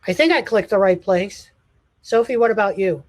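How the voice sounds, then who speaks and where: A man's voice: high-pitched, moderate pace, dry recording. A man who teaches college, hosting a casual video call session in a friendly, rambling way with many fillers and restarts.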